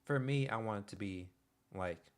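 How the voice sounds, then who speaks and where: A young man streaming, chatting casually in a soft-spoken, hesitant way with many pauses and restarts. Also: fairly low pitch. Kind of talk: casual livestream chat.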